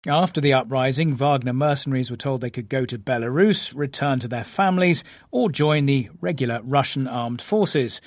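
A man presenting the news in a measured, formal way, reading reports and questioning a guest. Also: medium pitch, dry recording. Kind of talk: broadcast news reading and interviewing.

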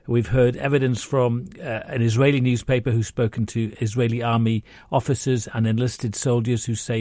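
Male correspondent, mid-pitched voice, dry sound, thoughtful and halting. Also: a little mellow, no echo. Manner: thoughtful, with frequent hesitations. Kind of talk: unscripted news analysis.

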